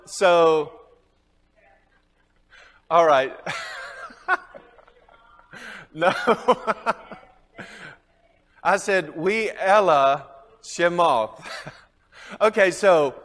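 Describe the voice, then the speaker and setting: A man's voice: fairly high pitch, fairly bright tone, in a large hall. A man with a Southern US accent teaching a Bible class, chuckling often and quizzing his students.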